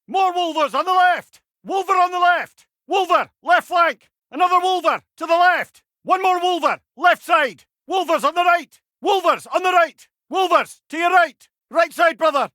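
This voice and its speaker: A man voicing a sharp old Scottish companion in a video game, calling out clipped, urgent battle warnings. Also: very high voice, dry recording, clean studio sound. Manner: urgent, clipped, projected shouting.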